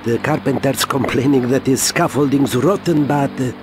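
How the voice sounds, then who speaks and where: An actor as a wry henchman, medium pitch. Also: normal speed, music in the background, natural voice. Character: wry, unruffled male henchman reporting to his boss. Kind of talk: video game cutscene acting.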